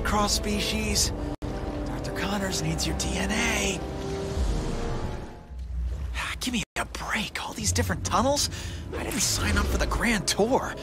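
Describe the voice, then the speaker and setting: A youthful masked hero for a video game, earnest but quick with a dry quip. His voice sits a bit high and swings expressively, stammering when unsure, over loud background music. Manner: hesitant stammers, dry wisecracks. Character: young wisecracking masked superhero, earnest and quippy.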